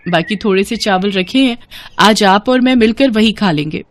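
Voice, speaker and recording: A woman's voice: medium pitch, moderate pace, a little hiss or hum, close to an everyday voice. A woman voicing a poor, kind village wife in a moral storybook tale, with an earnest, humble manner. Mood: reassuring. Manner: meek, earnest.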